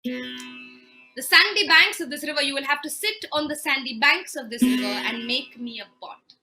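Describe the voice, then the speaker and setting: A woman voicing a stern, imperious sage husband who gives slow, deliberate orders and flies into shouting rage. Soft guitar plays behind her. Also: high voice, animated, gravelly, heavily acted, poor audio. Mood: commanding.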